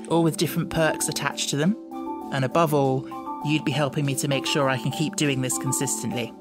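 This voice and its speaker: Man, audiobook host, casual scripted announcement, addressing listeners directly. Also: fairly high pitch. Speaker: audiobook narrator and channel host.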